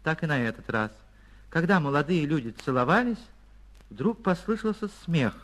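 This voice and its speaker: A man reading a literary short story for radio as an actor, expressive and theatrical, with deliberate pauses. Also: on the high side. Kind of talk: dramatic literary narration for radio.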